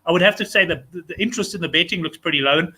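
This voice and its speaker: High-voiced, fast-talking South African man. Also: sharp tone. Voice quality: raspy. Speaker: show host. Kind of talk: casual chat.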